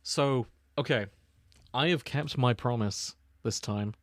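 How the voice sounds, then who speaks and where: A man with a mid-pitched voice chats casually as a podcast co-host. He rambles in a jokey, giggly manner. The sound is close and dry, with no room on it. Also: slow, dry recording. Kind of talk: casual comic chat.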